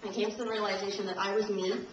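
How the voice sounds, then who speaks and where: A young woman reads her prepared speech to a university audience, slightly nervous and breaking into laughs now and then. She is caught from far off by a camcorder in a roomy lecture hall. The sound is dull and carries a steady hiss. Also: mid-range voice, racing. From Trinidad and Tobago. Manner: a little nervous, laughs between lines. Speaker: invited speaker. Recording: roomy, distant, dull camcorder audio with noise.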